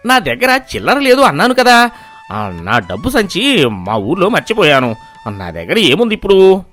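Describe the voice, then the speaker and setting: A man's voice: high voice, huge pitch swings, moderate pace, fairly bright tone, soft background music, full character voice. A man voicing a stingy, scheming old grandfather in a moral story, bossy and dismissive as he haggles. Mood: evasive. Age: older. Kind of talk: animated moral-story voice acting.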